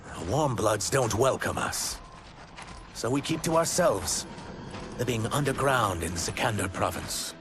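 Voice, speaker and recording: An actor playing a weary outcast reptilian shapeshifter in a video game cutscene, speaking gravely and haltingly. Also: male voice, mid-range voice, animated, background noise, lightly acted. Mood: guarded. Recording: music behind the voice.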